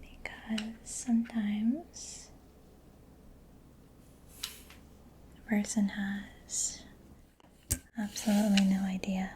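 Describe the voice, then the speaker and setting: A woman whispers softly and very slowly in an ASMR roleplay, playing a reassuring mechanic. Her voice is breathy and mellow, with gentle, level intonation. There is a faint wash of background noise. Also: medium pitch, little pitch movement. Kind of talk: soft-spoken ASMR roleplay as a mechanic examining a client.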